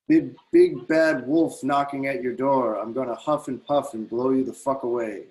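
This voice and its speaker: A man's voice: mid-range voice, fairly even intonation, moderate pace, darkish tone, natural voice, low-quality recording. A man playing a rowdy, defiant large man who taunts the police in a screenplay reading. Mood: taunting. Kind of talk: screenplay table read.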